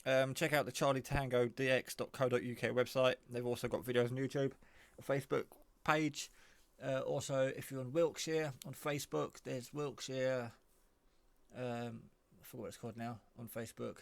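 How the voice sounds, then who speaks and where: A man giving a casual, off-the-cuff product demo, rambling in a low-key way as he thinks aloud. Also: steady tone, moderate pace, vocal fry, no echo. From England. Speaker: hobbyist reviewer.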